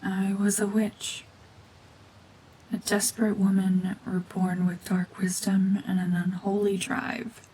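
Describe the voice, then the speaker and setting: A woman voicing a cold, mean, world-weary cyborg witch and war veteran in a hushed, breathy, brooding, deliberate ASMR drama monologue. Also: medium pitch, level tone, a little hiss or hum, lightly acted, rough recording. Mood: haunted, bitter.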